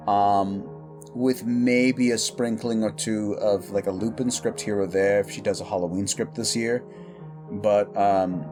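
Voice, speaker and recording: Rambling, informal man chatting over music. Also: on the low side. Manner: rambling, informal, self-interrupting. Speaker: audio roleplay creator and host. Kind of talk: casual chat to listeners.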